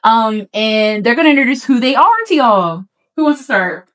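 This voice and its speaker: A young woman co-hosting a casual podcast, talking in a relaxed, rambling way with plenty of fillers. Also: on the high side, normal speed. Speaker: podcast co-host. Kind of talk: casual chat.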